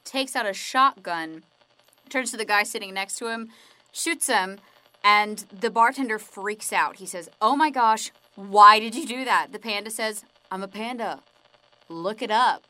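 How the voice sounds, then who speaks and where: A woman telling corny jokes and one-liners, slowly and with a giggle, in a radio drama. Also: mid-range voice, pushed and bright, dry recording. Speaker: joke teller.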